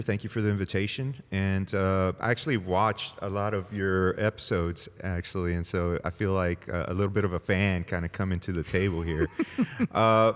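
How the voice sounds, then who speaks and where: A man in his forties, a Spanish native speaker from El Salvador, chatting easily as a pastor guest on a podcast, with many fillers and laughing asides. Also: lowish voice. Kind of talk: casual interview conversation. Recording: long reverb, echoey room.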